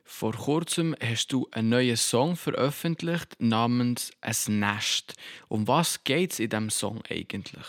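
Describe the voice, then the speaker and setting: A man's voice: mid-range voice, a little slow. Interviewer, man, short plain questions.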